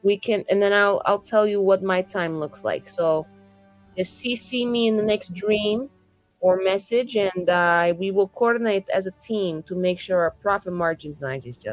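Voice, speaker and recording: Woman playing a sassy, vivacious, slow-drawn flirty adventuress. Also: medium pitch, soft background music, close to an everyday voice. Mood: scheming, casual. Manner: rambling, sassy, theatrical, casual modern slang. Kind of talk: improvised tabletop roleplay acting.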